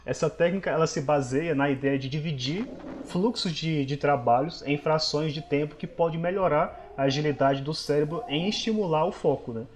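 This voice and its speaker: A man's voice: a little high, normal speed, slight background noise. A man co-hosting a podcast, introducing a topic and putting questions to the others. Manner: moderating, asks questions. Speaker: podcast co-host. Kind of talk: casual podcast discussion.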